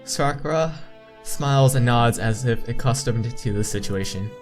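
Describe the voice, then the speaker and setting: A man doing a game playthrough, reading visual novel text aloud haltingly and adding his own asides. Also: medium pitch. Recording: game music behind the voice. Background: game music.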